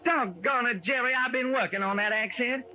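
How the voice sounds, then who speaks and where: An actor playing a genial, folksy homicide lieutenant with a Southern US accent in an old radio drama. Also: male voice, very high-pitched, normal speed, highs cut off. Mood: jovial. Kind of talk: 1940s-50s radio acting. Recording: noisy band-limited old radio recording.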